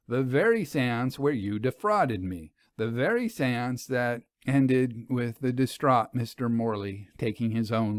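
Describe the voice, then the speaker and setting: Storybook role: detective's faithful young female assistant, male reader. Measured, reassuring, explanatory, with lively inflection. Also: medium pitch, no room sound, studio quality, natural voice. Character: Lida, loyal, reassuring young woman, detective's assistant and narrator. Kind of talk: audio storybook first-person reading.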